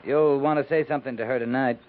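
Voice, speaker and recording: An actor playing a steady, plainspoken American army captain at a frontier fort, measured and blunt, in a 1950s radio drama. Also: male voice, medium pitch, natural voice. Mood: firm. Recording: tinny, noisy 1950s radio recording.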